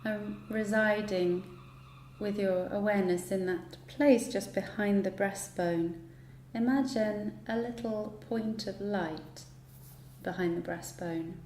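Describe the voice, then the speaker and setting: A woman guiding a relaxation meditation in a soothing, unhurried manner, leaving long pauses between instructions. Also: medium pitch, a little mellow. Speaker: yoga nidra guide. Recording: roomy echo, light background noise.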